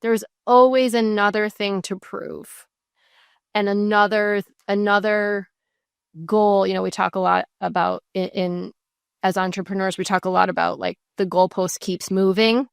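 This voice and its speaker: A woman's voice: mid-range voice, steady tone, unhurried, creaky, smooth, no echo, clean studio sound. A woman in her forties, a podcast guest, talking openly and reflectively about her work and self-worth, with frequent restarts. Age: 40s. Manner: reflective, open, many restarts and fillers. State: tearful at moments. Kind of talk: candid conversational interview.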